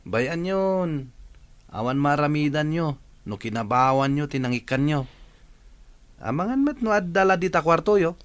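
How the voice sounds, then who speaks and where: A cheeky Ilocano son with a fairly high male voice, acted by a man. He has a teasing manner, in the style of a village radio drama. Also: lively intonation, moderate pace, pauses often, smooth, lightly acted.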